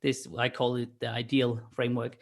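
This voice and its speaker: A man with a Danish accent speaks English as a second language, mid-pitched and explaining things step by step. He talks at an even, conversational level, often restarting phrases with small fillers, as a podcast guest describing his work. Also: normal speed, gravelly. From Denmark. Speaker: guest, online marketer. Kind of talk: podcast interview.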